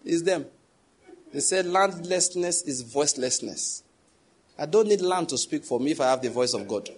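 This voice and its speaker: A man's voice: a little high, animated, moderate pace, husky. A young adult man preaching a sermon, emphatic, repeating key phrases and putting questions to the congregation. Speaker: pastor.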